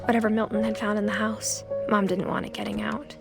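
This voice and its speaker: A woman's voice: medium pitch, flat delivery, a little fast, creaky, close to an everyday voice. A woman playing a pensive teenage girl who recalls her family in scripted game narration, understated and reflective. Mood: wistful, reflective. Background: music.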